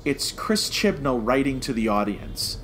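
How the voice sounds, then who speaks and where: Guy doing casual podcast review chat, stammery restarts, mid pitch, some noise behind him. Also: very animated, unhurried, a bit bright, low-quality recording.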